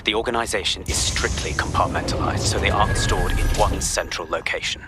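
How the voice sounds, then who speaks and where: An actor playing a grave, guarded male intelligence officer in a video game, speaking in a measured, clipped, humourless way. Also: sits low, loud music behind the voice, close to an everyday voice. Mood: cool, curt.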